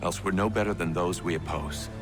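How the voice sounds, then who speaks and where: An actor playing a dignified, principled military commander in a video game, formal and restrained. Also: male voice, low-pitched, a little fast, over music. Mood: stern concern. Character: dignified, principled older male army commander. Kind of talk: dramatic video game acting.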